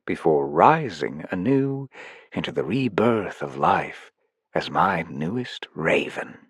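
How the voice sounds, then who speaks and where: A man voicing a cold, grandiose villain king who speaks slowly and condescendingly. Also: sits low, big pitch swings, husky, no echo, clean studio sound, exaggerated voice. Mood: menacing. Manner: measured, slow, condescending.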